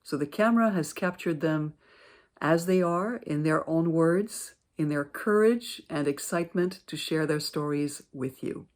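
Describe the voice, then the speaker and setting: A woman introducing a program as its director, in a calm, welcoming, scripted way. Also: low voice, measured pace. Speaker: director and producer.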